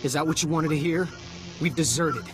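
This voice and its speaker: Male actor: weary deserter soldier for a game, higher register, evasive and desperate, clear music bed. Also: close to an everyday voice. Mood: guilty, flustered. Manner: evasive, halting, pleading. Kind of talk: video game voice acting.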